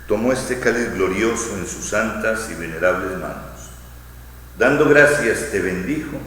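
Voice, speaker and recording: Echoing, low-voiced priest praying solemnly. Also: male voice, a bit bright. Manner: solemn, measured, phrase by phrase. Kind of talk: liturgical prayer recitation. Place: large church interior. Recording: echoing church hall, long reverb, faint noise.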